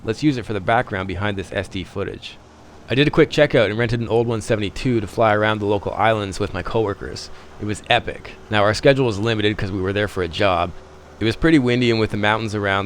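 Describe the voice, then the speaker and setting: A man with a lowish voice narrates a pilot's vlog at a brisk clip. His delivery is informal and chatty, happy to poke fun at himself, with faint noise behind him. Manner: chatty, informal, self-deprecating. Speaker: private pilot and video host.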